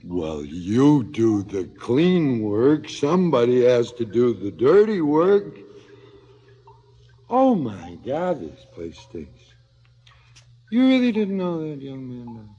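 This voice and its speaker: Sardonic killer, wildly swinging pitch. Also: male voice, huge pitch swings, takes it slowly, dark tone, slightly rough, exaggerated voice. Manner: sardonic, theatrical, swinging from muttering to outbursts. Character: cynical, philosophizing hired killer, theatrical and sardonic. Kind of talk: 1970s radio drama acting. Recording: slightly dull 1970s radio recording.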